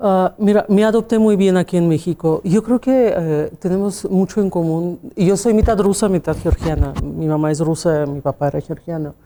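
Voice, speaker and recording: A woman's voice: lowish voice, fairly even intonation, normal speed, few pauses, mellow. A woman with a Russian accent, a pianist and music teacher, answering interview questions in a reflective way with small slips and questioning tags. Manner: reflective, often ending with a questioning tag, small grammar slips.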